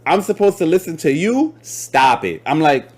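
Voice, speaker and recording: Young male host, late 20s, laid-back slangy delivery, slightly higher than usual pitch. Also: big pitch swings, moderate pace. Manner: slangy, joking, talks over guest. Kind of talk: casual interview.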